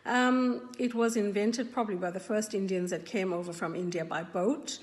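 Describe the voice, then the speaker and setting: A South African woman in her forties, sharing her own story thoughtfully, with frequent pauses and restarts. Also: medium pitch, fairly even intonation, big-room sound. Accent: South African English. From South Africa, KwaZulu-Natal. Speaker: interviewee. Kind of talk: personal account to camera.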